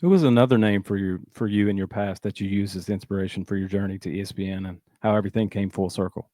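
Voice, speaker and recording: Man, brisk talker, casual interviewer, slightly low voice, little room sound. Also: fairly even intonation, warm tone, no room sound. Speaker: podcast host, interviewer.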